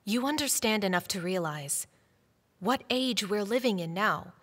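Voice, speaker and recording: A woman's voice: mid-range voice, lively intonation, unhurried, fairly bright tone. A woman playing a devout, defiant middle-aged wife in a dubbed stage drama, earnest, preachy and challenging. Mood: urgent, preaching. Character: devout, defiant wife pursued for her faith. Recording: reverberant hall-like sound.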